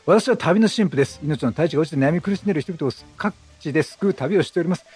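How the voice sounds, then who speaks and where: A man's voice: a little high, quick pace, a little mellow, husky, soft background music. A man voicing an earnest, troubled traveling priest from a video game in polite, solemn phrases.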